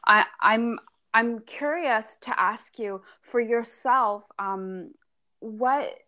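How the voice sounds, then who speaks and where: A woman's voice: a little high, sharp tone, muffled recording. Affirming woman interviewer. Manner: affirming listener, halting questions with restarts. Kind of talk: casual interview.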